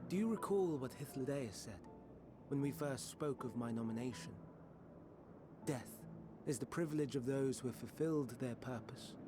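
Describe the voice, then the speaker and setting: An actor playing a gentle, melancholy, courteous scholar in a fantasy video game, soft-spoken, formal and measured. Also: male voice, mid-range voice, natural voice. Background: game music.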